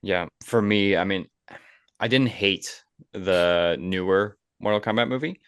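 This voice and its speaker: A man with a very deep voice hosts a casual film discussion. He sounds enthusiastic and opinionated, speaking conversationally. The recording is dry and close, with very little room sound. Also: a little slow, fairly bright tone, clear, resonant tone, dry recording. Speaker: podcast host.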